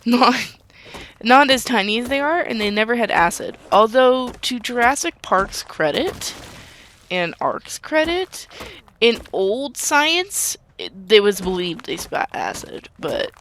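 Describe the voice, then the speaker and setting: A young woman hosting a gaming video, chatting casually in a calm, soothing voice as she rambles. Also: medium pitch, slight background noise. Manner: calm, soothing, rambling talk with light laughs. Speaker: gaming channel host. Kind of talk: casual gameplay commentary. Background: background music.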